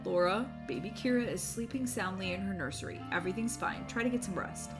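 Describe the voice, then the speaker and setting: A woman playing a gentle, doting young father who speaks in a soothing, sentimental way. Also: medium pitch, a little fast, over music, lightly acted.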